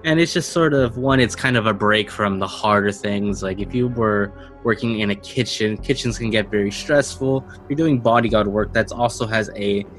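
A man's voice: lowish voice, normal speed, hardly any pauses, fairly bright tone, poor audio. A man hosting and narrating a tabletop role-playing game as game master. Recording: music under the voice. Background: music.

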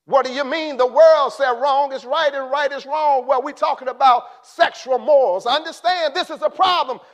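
A man preaching a sermon in an impassioned way, rising to shouts and then dropping low. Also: normal speed.

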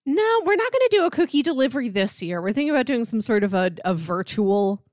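A woman's voice: on the high side, very animated, fast talker, a little mellow, dry recording, studio quality. A woman co-hosting a podcast, chatting casually and conversationally about films. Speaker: podcast co-host.

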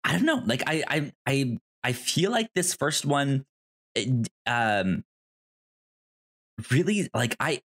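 A man co-hosting a podcast in casual film discussion, halting and repeating words as he thinks aloud. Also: medium pitch, very animated, fairly bright tone. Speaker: podcast co-host.

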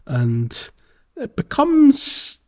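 A man co-hosting a podcast, chatting casually about a video game in a hesitant, halting way. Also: very high voice, wildly swooping pitch. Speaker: podcast co-host.

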